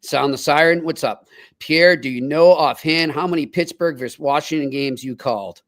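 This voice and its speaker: A man's voice: fairly high pitch, normal speed, fairly bright tone. A man hosting a casual sports chat and putting questions to his co-host.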